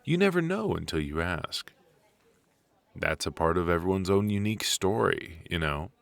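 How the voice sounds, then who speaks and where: A man acting a friendly, chatty extrovert at a coffee shop mixer in an ASMR audio roleplay, conversational and reassuring, pausing for an unheard listener. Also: deep voice, sing-song, creaky, no echo, exaggerated voice. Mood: quietly musing. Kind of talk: ASMR roleplay, casual one-sided conversation.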